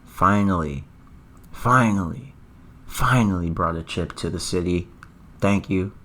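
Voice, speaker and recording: A man with a hoarse, worn-out voice hosts his own esports news vlog. He sits low in pitch and talks to camera in a loose, casual way, eager and keen on doubling up words for emphasis. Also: measured pace, a bit bright. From Atlanta, US. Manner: enthusiastic, repeats words for emphasis.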